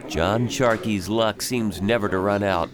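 A man's voice: fairly low pitch, measured pace, a crowd in the background, close to an everyday voice. A man playing a grave, determined shipowner in a radio drama, with formal, commanding, old-fashioned speech. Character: grave, determined bereaved shipowner seeking revenge.

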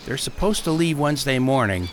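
An actor playing a shrewd onlooker gossiping knowingly in a radio drama. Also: male voice, mid-range voice, animated, fairly quick, slight background noise, lightly acted. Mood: musing.

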